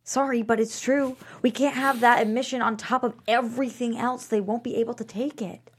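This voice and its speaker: A woman acting as an exasperated, defensive wife in a screenplay drama. Her voice sits a little high, and she delivers her lines naturally with a sarcastic edge. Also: fairly even intonation, brisk, breathy edge. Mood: defensive, bitter. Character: Frankie, exasperated, defensive woman in a strained marriage. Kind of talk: table read of a screenplay.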